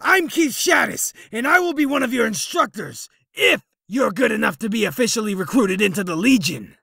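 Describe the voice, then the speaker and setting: A man's voice: high voice, lively intonation, creaky, sharp tone, husky, dry recording, clean studio sound, exaggerated voice. A man playing a harsh, commanding military drill instructor who barks at recruits in a fan audio drama. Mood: barking orders. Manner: barking, commanding, drawn-out emphasis.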